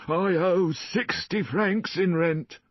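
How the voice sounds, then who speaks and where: A man's voice: high voice, slow pace, no echo, pitched above the performer's own voice. A man voicing a fawning, scheming poor man who pleads and whines. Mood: pleading. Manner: whining, pleading. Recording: dull dry lo-fi.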